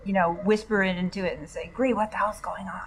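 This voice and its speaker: A woman's voice: medium pitch, fairly even intonation, quick pace, slightly rough, poor audio. A woman playing a tabletop roleplaying game, casually acting out her character's lines and actions. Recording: loud overlapping voices behind.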